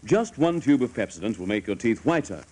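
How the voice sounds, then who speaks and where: Man, vintage radio ad, medium pitch, very animated, persuasive, polished. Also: brisk, breathy edge. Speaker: commercial announcer. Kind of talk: 1950s radio advertisement.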